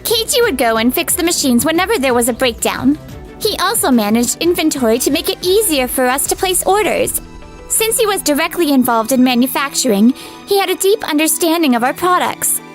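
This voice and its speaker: A woman's voice: high-pitched, very animated, fairly quick, faint music behind. A woman playing a firm, loyal young office colleague in a manga dub, explaining things plainly. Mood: firm, reproachful. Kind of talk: scripted manga dub acting.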